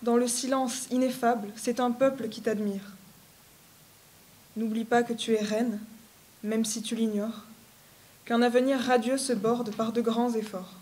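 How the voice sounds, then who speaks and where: A woman recites poetry in a somewhat high voice. Her intonation stays flat and even from line to line. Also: measured pace, pauses often.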